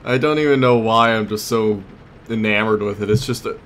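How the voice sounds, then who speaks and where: Male game commentator, fairly low voice, conversational and unscripted, recorded with clear background noise. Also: fairly quick, a bit bright. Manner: conversational, thinking aloud. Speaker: let's play host. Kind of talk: casual gameplay commentary.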